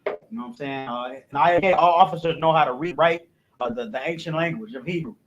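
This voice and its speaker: A man's voice: brisk, lo-fi sound, a little hiss or hum. A man leading a recorded language class in a casual, repetitive way, often adding "you know what I'm saying" in a dropped voice. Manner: recites letters loudly and rising in pitch. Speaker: class instructor.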